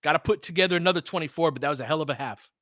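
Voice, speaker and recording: A man hosting a live basketball watch-along, talking as an excitable, swearing fan who also analyzes the play. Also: a little high, racing, dry recording, studio quality. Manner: profane, excitable fan talk with analytical asides. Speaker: sports podcast host and analyst.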